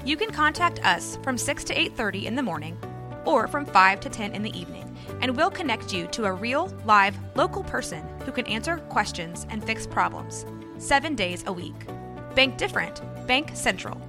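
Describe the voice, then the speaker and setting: A woman's voice: a little high, very animated, normal speed. A woman reading a scripted commercial as an advertisement announcer. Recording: music bed behind voice. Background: music.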